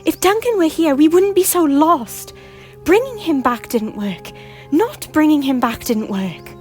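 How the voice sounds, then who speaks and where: A woman voicing a guilt-ridden former queen who pleads in breathy, often whispered lines. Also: sits high, big pitch swings, measured pace, darkish tone, soft background music. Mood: pleading. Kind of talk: fan audio drama acting.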